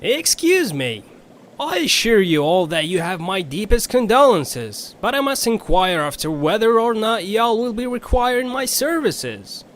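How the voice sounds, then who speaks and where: A man's voice: high voice, sing-song, measured pace, fairly bright tone, full character voice. A man voicing a sly, folksy riverboat captain with a flowery, drawling, theatrical manner. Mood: courteous.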